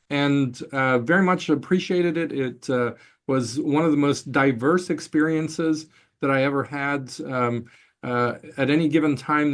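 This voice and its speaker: A man, born in Brazil, giving an online finance masterclass as a professor, speaking haltingly with many fillers and restarts. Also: medium pitch.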